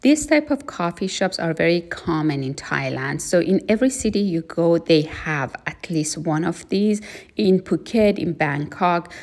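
A woman giving a casual spoken travel review, unhurried and plain, with frequent fillers. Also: fairly low pitch, lively intonation, a little mellow. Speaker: travel vlogger.

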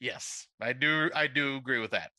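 A man's voice: mid-range voice, normal speed, pushed and bright, no room sound. A man hosting a podcast, chatting casually. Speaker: podcast host.

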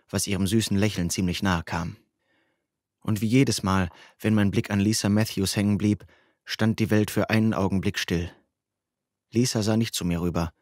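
Audiobook narrator: man with a fairly low voice, very dry studio sound, first-person youth fiction. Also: a little mellow, dry recording.

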